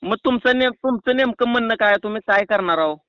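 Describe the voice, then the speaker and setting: A man's voice: very high voice, quick pace, smooth, no echo. A man with a rural Maharashtrian accent making a prank phone call, bantering and protesting in a cheeky, rambling way. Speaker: prank caller. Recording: muffled lo-fi phone call recording.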